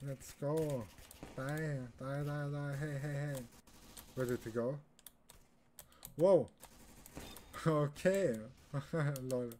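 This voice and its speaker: A man's voice: fairly high pitch, very slow, long pauses, darkish tone. A young man from Austria, a native German speaker with an Austrian accent, giving casual live commentary as he streams a game. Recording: clear keyboard and background noise.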